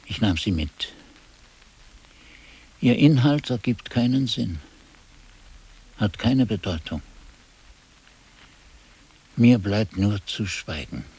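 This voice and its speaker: A man's voice: on the low side, lively intonation, gravelly, darkish tone, breathy edge, heavily acted, rough recording. An actor playing a weary, disillusioned old monk who solemnly recounts his past in a radio drama. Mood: wistful, resigned. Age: older. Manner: solemn, deliberate.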